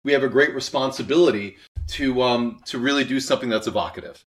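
A man with a mid-pitched voice talks thoughtfully in an interview. He explains things earnestly and articulately, like a creator discussing his craft. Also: quick pace. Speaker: audio drama writer and creator, interview guest.

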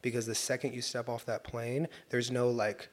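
A young man from Southern California talking in a relaxed, easygoing podcast chat. He tells stories loosely, full of slang, and acts out what people said. His voice sits at an ordinary middle pitch. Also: normal speed. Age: young adult. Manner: laid-back, animated storytelling with slang and acted-out quotes. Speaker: guest. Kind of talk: casual chat.

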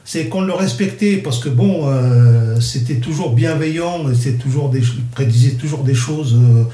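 A man's voice: medium pitch, normal speed, mellow, roomy sound. A man in his sixties from Algeria, first language Kabyle, answering interview questions in a hesitant way, with many fillers and restarts. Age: 60s. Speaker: interviewee, the host's father. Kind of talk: casual interview.

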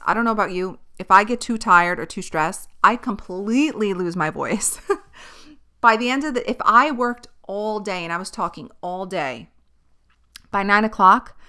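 A woman's voice: medium pitch, bright, forceful tone, clear, resonant tone. A woman in her thirties hosting a podcast, chatting casually and giving her opinions, with many tangents. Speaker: podcast host. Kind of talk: casual chat.

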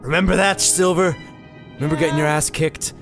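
A man voicing a brash, cocky male hedgehog who taunts the others, in a comedy game fan dub over loud game music. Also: a little high, fairly even intonation, brisk, lightly acted. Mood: taunting.

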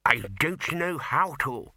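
A man's voice: fairly high pitch, takes it slowly, pushed and bright, no echo, studio quality, pitched above the performer's own voice, full character voice. Man playing a nervous, meek wizard bursar. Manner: nervous, hesitant. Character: timid, flustered, mild male wizard bursar, hapless and deferential.

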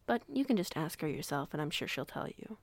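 Woman playing a young female pack alpha, slightly low, creaky, measured, polite, dry sound, audio roleplay. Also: big pitch swings, no echo, exaggerated voice. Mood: discreet, amused. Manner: measured, formal phrasing. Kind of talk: audio roleplay acting, conversation.

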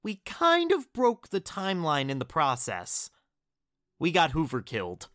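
A man's voice: a little high, huge pitch swings, a little slow, a bit bright, no echo, studio quality, full character voice. An actor playing an awkward, apologetic man who explains things sheepishly in an audio drama. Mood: defensive, flustered. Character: awkward, flustered, apologetic man reporting mishaps.

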